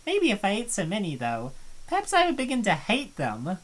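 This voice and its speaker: A woman voicing an earnest young college friend who gently pries, in a fan dub of a visual novel. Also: medium pitch, huge pitch swings, moderate pace. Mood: concerned.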